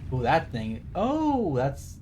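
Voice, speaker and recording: A man's voice: fairly high pitch, huge pitch swings, takes it slowly, mellow, slight background noise. A man giving casual livestream game commentary, digressive and wry as he explains trivia. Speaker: game streamer.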